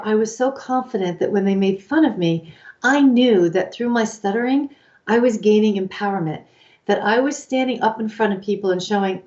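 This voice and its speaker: Lively woman co-host, slightly lo-fi. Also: mid-range voice. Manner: animated, acts out quoted inner voices. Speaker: co-host, life coach. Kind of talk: casual advice chat.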